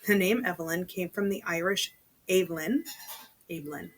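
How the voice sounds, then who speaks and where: A woman's voice: on the low side, normal speed, rough recording. A woman reading a novel aloud as a storytelling host, chatty, dropping into casual muttered asides. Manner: chatty, breaks off to comment in undertones.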